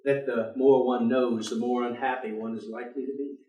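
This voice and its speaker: A man's voice: mid-range voice, level tone, brisk, dark tone, dull audio, close to an everyday voice. Echoey, dry, bleak male professor played by an actor. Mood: cynical. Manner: dry, precise, formal, pessimistic. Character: bleak, weary, stubborn intellectual professor, an unbeliever. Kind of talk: staged play reading. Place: indoor theater stage. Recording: echoey theater, distant microphone.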